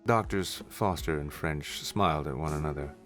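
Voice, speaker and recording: A man's voice: a bass voice, moderate pace, fairly bright tone. A man reading scripted narration for a science fiction story. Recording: music under the voice.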